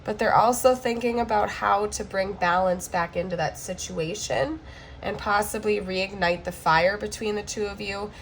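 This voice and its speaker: A woman recovering from the flu, with a hoarse, cracking voice, giving a chatty tarot card reading to camera and checking in often with "okay?". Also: medium pitch, fairly even intonation, normal speed, a little hiss or hum.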